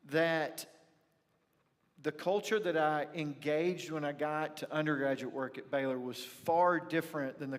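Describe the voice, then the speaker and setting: A man with a Texan accent preaching in a folksy, conversational way, telling personal stories with emphatic pauses. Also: on the high side, fairly bright tone. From rural North Texas, USA. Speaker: pastor. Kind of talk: sermon with personal storytelling. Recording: reverberant hall, church livestream.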